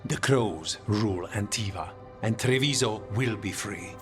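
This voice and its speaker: A man voicing a composed, calculating assassin leader in a video game, with a measured, formal delivery. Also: on the low side, over music, natural voice. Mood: measured, resolute.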